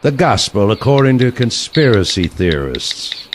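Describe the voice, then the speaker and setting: A man as a deadpan veteran assassin, lively intonation. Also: fairly low pitch, measured pace, slight background noise. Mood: sardonic. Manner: dry, deadpan, terse. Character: principled, weary older male veteran assassin. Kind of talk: audio play dialogue.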